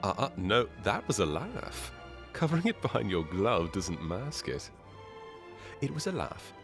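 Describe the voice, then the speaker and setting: Male actor as charming masked nobleman, playful courtly manner, slow pace, lively swooping intonation, ASMR romance roleplay. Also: lowish voice, over music. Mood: amused, teasing. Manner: suave, playful, courtly phrasing with soft hesitations.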